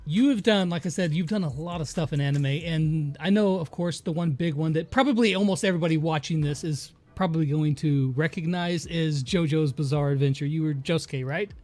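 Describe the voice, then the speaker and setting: A man with a slightly high voice hosts a casual interview. He is conversational and keeps affirming his guest. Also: fairly quick, few pauses, a little mellow. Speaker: interview host.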